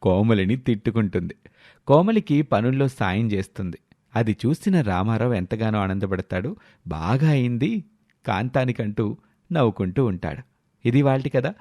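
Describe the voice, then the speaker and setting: A man's voice: on the low side, lively intonation, normal speed, dark tone, no room sound. A man narrating a scripted moral story as the storyteller. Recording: music behind the voice.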